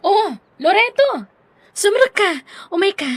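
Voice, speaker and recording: A woman playing a devoted, earnest young sweetheart in an audio drama, with a breathy voice. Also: extremely high pitch, very animated, a little slow, no echo, full character voice. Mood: welcoming. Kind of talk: Ilocano audio drama acting.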